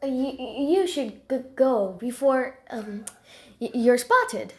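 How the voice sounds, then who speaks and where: Woman voicing a slow, timid, high-voiced house cat. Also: lively intonation, darkish tone. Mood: nervous. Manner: hesitant, stammering, with dramatic outbursts. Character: timid, awkward, excitable pampered house cat, easily panicked. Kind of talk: character voice lines for an animated animal story.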